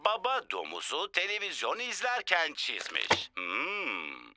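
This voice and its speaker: Casting: grand, jolly cartoon potato celebrity; bright, forceful, unhurried delivery, exclamatory, faint music behind. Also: male voice, on the high side, huge pitch swings, heavily acted. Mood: showy, encouraging. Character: jolly, theatrical giant potato man, a celebrity TV presenter. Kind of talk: children's cartoon dialogue.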